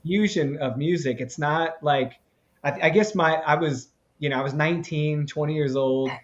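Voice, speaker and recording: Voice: mid-range voice, moderate pace. A host of a live interview stream, telling stories in a rambling way, full of fillers. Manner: rambling, filler-heavy storytelling. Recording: slightly dull lo-fi audio.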